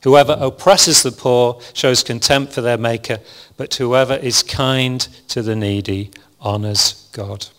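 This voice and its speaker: A man delivers a sermon in a calm, even and rather monotonous voice, often restarting his phrases. His voice sits in a medium register. It carries a long reverb from the hall he is speaking in. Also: mid-range voice, unhurried. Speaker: preacher. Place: church hall with congregation.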